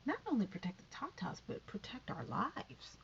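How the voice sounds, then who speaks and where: A woman's voice: a little high, wildly swooping pitch, moderate pace, gravelly, dull audio, a little hiss or hum. A woman in her fifties vlogging in a rambling, chatty way, with sighs and whispered asides. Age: 50s. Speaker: YouTube vlogger. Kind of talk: rambling casual vlog.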